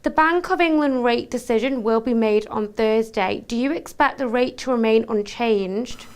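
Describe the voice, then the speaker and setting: Female news interviewer, smooth and fairly high voice, formal scripted questions. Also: moderate pace, darkish tone. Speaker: TV journalist, interviewer.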